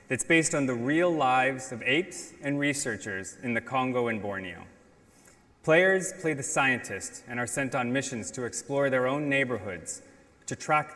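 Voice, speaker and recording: Middle-aged male conference speaker, measured delivery, big echoing auditorium. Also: medium pitch, fairly bright tone. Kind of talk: prepared stage presentation. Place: large indoor auditorium stage. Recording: reverberant hall, stage talk.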